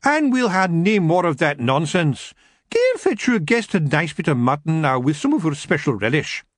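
A man voicing a shrewd, stern fairy clan mother with a Scottish accent, sharp and commanding. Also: fairly high pitch, sing-song, normal speed, dry recording, clean studio sound, pitched above the performer's own voice. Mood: snappish.

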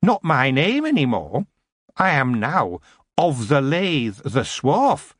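A man's voice: medium pitch, sing-song, slow pace, breathy edge, dry recording, studio quality, pitched above the performer's own voice, heavily acted. A man voicing a stocky, defiant male goblin who talks in broken grammar with a cocky, mocking manner. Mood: proud.

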